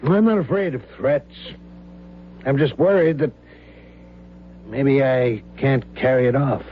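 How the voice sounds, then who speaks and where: A man's voice: mid-range voice, slow pace, lightly acted. Man acting a firm, plain-spoken union man, muffled old radio. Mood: resolute, worried. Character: determined, principled union mechanic, a stubborn family man. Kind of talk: vintage radio drama acting. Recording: dull old radio recording with hum.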